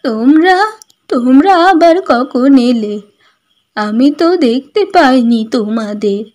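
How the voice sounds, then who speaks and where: A woman as a high-voiced, scolding older village mother-in-law. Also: a little mellow, no room sound. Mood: indignant. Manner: scolding, indignant, emphatic. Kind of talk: cartoon dialogue.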